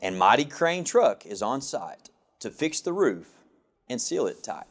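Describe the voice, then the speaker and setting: A man reading a children's picture book aloud with an expressive, sing-song rhyming delivery. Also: medium pitch, a little slow. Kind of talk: storybook read-aloud.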